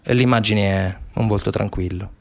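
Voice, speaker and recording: A man giving a theatrical monologue as a stage narrator, measured, with deliberate pauses and repetitions. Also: lowish voice, fairly even intonation. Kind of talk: theatrical monologue, storytelling and recitation. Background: instrumental music.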